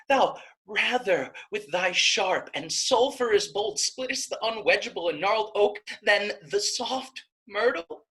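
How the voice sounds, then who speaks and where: Actress as young Shakespearean heroine: pleading, righteous, rhetorical, highly animated pitch, forceful edge, measured pace. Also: female voice, exaggerated voice. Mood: indignant. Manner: impassioned, rhetorical verse delivery. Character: pleading young maiden Isabella, passionate and righteous. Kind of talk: Shakespeare staged reading.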